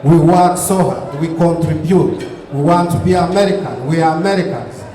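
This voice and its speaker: A man with a Ugandan accent delivers an earnest keynote, his voice slightly high and mellow. He stacks up repeated phrases as he speaks. The sound rings through a big hall with a long, echoing tail. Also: normal speed. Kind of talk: gala speech. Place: banquet hall. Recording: echoey hall with long reverb.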